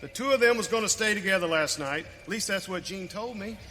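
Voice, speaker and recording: An actor playing a bewildered, hot-headed frontier settler with an Old in a radio western. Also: male voice, high-pitched, expressive, a little fast, pushed and bright. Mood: worried. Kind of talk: live western radio drama acting. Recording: reverberant hall, faint background noise.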